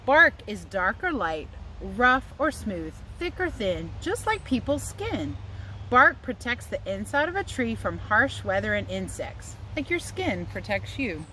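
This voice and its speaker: A woman with a mid-pitched voice reads to young children as a teacher would. She breaks off for chatty asides and puts questions to her listeners. She is recorded in a yard, with light outdoor noise behind her. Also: very animated, unhurried, sharp tone, poor audio. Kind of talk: read-aloud lesson for young children.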